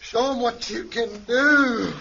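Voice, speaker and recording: A man's voice: very high voice, slow pace, vocal fry, sharp tone, heavily acted. An actor playing a crude, drunken, swaggering male astronaut in a radio play, slurring and boastful. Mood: lecherous excitement. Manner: leering, boastful, coarse. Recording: dull lo-fi recording, faint noise.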